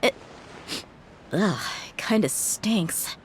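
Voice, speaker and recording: A woman's voice: medium pitch, animated, extremely slow, whispered. A woman voicing a blunt, exasperated young female mercenary in a video game, informal and sardonic. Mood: exasperated, wry.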